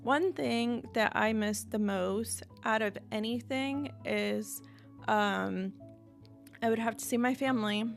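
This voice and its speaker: A woman's voice: fairly high pitch, slow, vocal fry, soft background music. A young woman from the United States, talking candidly and reflectively to her viewers in an unedited vlog, with light laughs now and then. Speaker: vlogger. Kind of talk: candid unedited monologue to camera.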